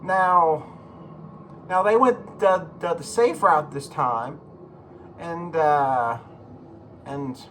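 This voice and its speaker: A man giving casual, rambling video commentary as a host, halting and repeating himself, with informal, sweary phrasing. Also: a little high, a bit bright, slight background noise. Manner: profane, informal. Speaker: YouTube host.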